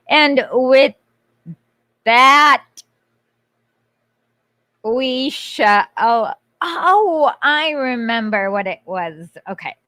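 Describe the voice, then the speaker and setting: A woman streamer chatting casually with her audience, rambling and breaking off her own sentences. Her voice sits fairly high, and the sound is dry and close with no room around it. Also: animated, sharp tone, no room sound. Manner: rambling, quick, self-interrupting. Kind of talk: casual livestream chat.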